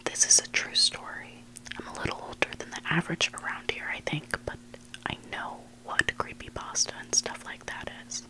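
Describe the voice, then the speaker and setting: A person whispering a story in ASMR style as a host, hushed and deliberate, pausing between short phrases. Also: poor audio. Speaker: ASMR host reading submitted online stories.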